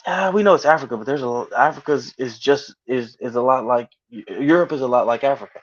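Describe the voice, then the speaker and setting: A man's voice: mid-range voice, brisk, dull audio. A man in a casual discussion over a call, arguing his point and firing back challenging questions. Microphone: video call.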